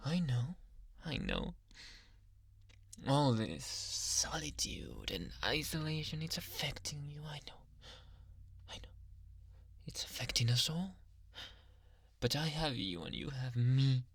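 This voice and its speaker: A man's voice: medium pitch, very animated, slow pace, gravelly, pauses often, breathy edge, no echo, heavily acted. A man playing a possessive, doting young yandere doctor in an ASMR roleplay, murmuring breathily and slipping in and out of whispers close to the mic. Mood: soothing, doting. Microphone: close microphone.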